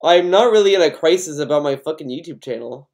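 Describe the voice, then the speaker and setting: A man's voice: fairly high pitch, expressive, fast, a little mellow. A man with an American accent from Connecticut, ranting casually as a YouTuber in a profane, rambling, defensive way. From Connecticut, USA. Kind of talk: casual ranting vlog commentary.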